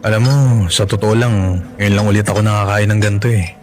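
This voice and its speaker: A man's voice: lowish voice, level tone, fast, a little mellow, faint music behind, close to an everyday voice. An actor with a Filipino accent playing a cash-strapped, apologetic and devoted young father in a radio drama, with a self-effacing manner. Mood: sheepish.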